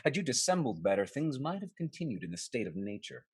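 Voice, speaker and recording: Man as a sardonic, lively Restoration gentleman. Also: mid-range voice, quick pace, husky, no echo, studio quality. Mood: needling. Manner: theatrical, sardonic period phrasing. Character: worldly married gentleman, cynical, sardonic, dismissive friend. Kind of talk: Restoration comedy play reading.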